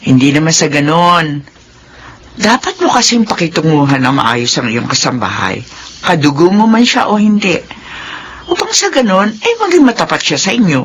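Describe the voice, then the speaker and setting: A woman's voice: low voice, big pitch swings, moderate pace, slight background noise. An actress playing a concerned middle-aged Filipino mother, earnest and admonishing, in a radio drama. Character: concerned, advice-giving mother of a married daughter.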